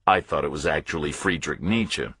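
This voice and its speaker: A man's voice: very deep voice, normal speed, fairly bright tone, breathy edge. A man narrating a first-person thriller audiobook in short, clipped, terse phrases.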